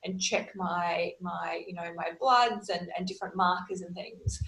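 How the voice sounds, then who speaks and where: A woman in her thirties, a holistic wellness practitioner, telling her story in earnest, steady lists on a video call from a small room at home. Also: lowish voice, measured pace, vocal fry, reverberant, a little hiss or hum, rough recording. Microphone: video call, laptop camera.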